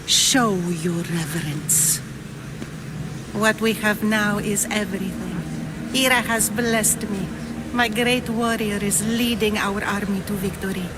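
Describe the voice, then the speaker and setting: Woman playing an imperious, slow-spoken female zealot. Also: medium pitch, heavy background noise, lightly acted. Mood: proud. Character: proud, reverent, imperious woman cult zealot. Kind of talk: video game cutscene acting.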